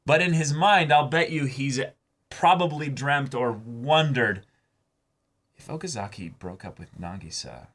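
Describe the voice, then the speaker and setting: A man's voice: medium pitch, expressive, measured pace, a bit bright. A man co-hosting a reaction show, talking through his opinions in a casual, informal way as he thinks aloud. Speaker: co-host of anime reaction show. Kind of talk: casual discussion and commentary.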